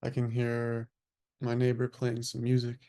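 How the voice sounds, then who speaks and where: A man guides a meditation in a very slow, even voice with a gravelly creak. He speaks in short, hushed phrases and leaves long pauses between them, unhurried throughout. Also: mid-range voice. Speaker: meditation teacher leading a group.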